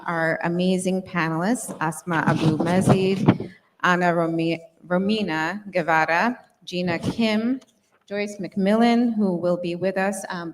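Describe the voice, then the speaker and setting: A woman moderating a panel, giving a prepared academic introduction in a deliberate, phrase-by-phrase way on an auditorium stage with a handheld mic. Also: lowish voice, a little hiss or hum. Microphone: handheld microphone. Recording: reverberant hall sound.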